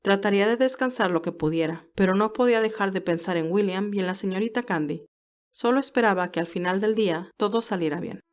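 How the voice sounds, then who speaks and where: A woman's voice: mid-range voice, brisk. Woman narrating a romantic story.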